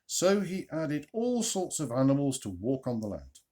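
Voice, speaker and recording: A man tells a children's story in a husky voice with very lively intonation. He leans on key words with dramatic pauses and turns to put questions to his young listeners. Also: mid-range voice. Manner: expressive, dramatic emphasis and pauses. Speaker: storyteller, reader.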